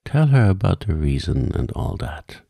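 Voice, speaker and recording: Man reading as a languid, very deep turtle. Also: big pitch swings, moderate pace, vocal fry, mellow, dry recording, clean studio sound, exaggerated voice. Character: melancholy, languid old Mock Turtle.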